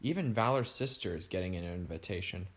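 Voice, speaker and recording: A man's voice: sits low, animated, a little fast. A man reading aloud, voicing a dry, matter-of-fact young woman on a mystery team.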